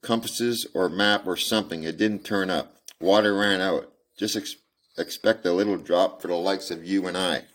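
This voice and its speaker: A man reading the part of a weary frontier man, gentle with a child, in a folksy drawl. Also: sits low, fairly even intonation, moderate pace, pitched above the performer's own voice. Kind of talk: amateur audiobook reading.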